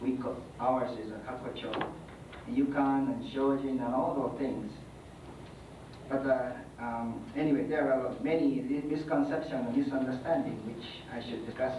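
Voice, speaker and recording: Halting Buddhist priest at a podium, distant mic, booming hall echo. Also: male voice, steady tone, dull audio. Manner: halting, many fillers, non-native phrasing. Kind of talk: conference talk. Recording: echoey hall from far off, noisy.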